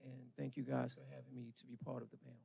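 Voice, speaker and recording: Gravelly, husky man in his forties. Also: medium pitch, flat delivery, racing, darkish tone. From Charleston, South Carolina. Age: 40s. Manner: casual, earnest, uses ums. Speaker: panelist. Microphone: handheld microphone.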